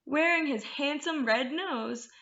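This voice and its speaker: Slow, high-voiced woman reading to children. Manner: expressive, encouraging. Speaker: children's librarian, storytime host. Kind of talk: storytime read-aloud and teaching rhymes to children. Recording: echoey room, slightly muffled sound.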